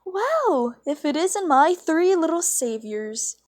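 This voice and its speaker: A woman's voice: very high voice, sing-song, takes it slowly, a little mellow, no echo, clean studio sound, pitched above the performer's own voice, heavily acted. A young woman voicing a mocking, theatrical male chaos creature from a cartoon fan story. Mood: smug, taunting. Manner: mocking, mock-innocent, theatrical. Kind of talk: amateur read-aloud of cartoon fan fiction.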